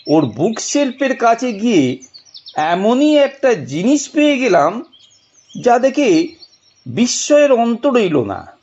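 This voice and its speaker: A man narrates a suspenseful detective story in a fairly high voice that swings widely in pitch. He phrases slowly and theatrically, drawing out the tension. The recording is slightly dull, with noise behind him. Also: darkish tone. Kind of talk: dramatic first-person scripted narration.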